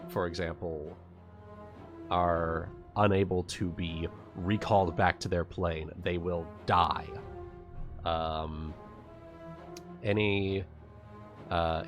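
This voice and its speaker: A man narrating a live tabletop roleplaying session as game master, halting as he searches for words. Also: sits low. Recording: music playing behind the voice. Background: background music.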